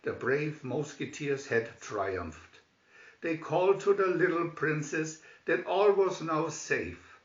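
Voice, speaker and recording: A man reading a children's story aloud in an expressive, theatrical manner, as a scripted narration. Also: a little high, slow pace. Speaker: storybook reader. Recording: echoey room, distant dull microphone.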